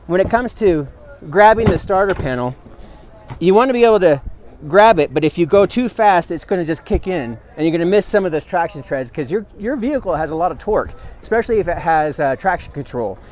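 A man's voice: high-pitched, lively intonation, brisk, a little mellow. A man in his thirties giving an eager, hands-on product demonstration at an outdoor expo booth, recorded on a camera mic a few feet away. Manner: enthusiastic, explanatory, hands-on demonstrating. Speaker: product representative.

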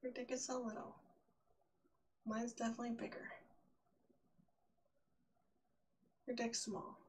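A man's voice: very high voice, flat delivery, normal speed. A man using a voice changer to play a gushing, ditzy young woman in a casual prank video chat. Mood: mocking. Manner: valley-girl filler "like", gushing. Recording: noisy webcam chat audio, clicking.

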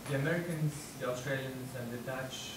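A man's voice: medium pitch, fairly quick. Man asking from an echoey hall. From Afghanistan. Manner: earnest, pointed, lists his points. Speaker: audience member asking a question. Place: lecture room audience. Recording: echoey hall, distant handheld mic, background noise.

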